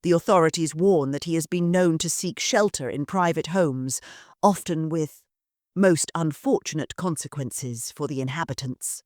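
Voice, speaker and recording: A woman voicing a refined aristocratic gentleman with a smooth, cultured manner and a sinister edge. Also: low voice, moderate pace, dry recording, clean studio sound, natural voice.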